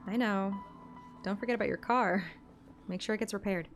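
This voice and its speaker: A woman's voice: mid-range voice, brisk. A woman reading a scripted first-person story like an audiobook, with music behind her voice. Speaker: narrator and streamer.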